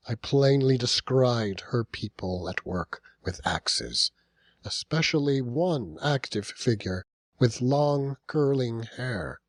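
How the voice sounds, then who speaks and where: Guy reading an old novel for an audiobook, slow and dramatic, pauses a lot, really dry close sound. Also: medium pitch, dry recording. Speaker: audiobook narrator. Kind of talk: scripted literary narration.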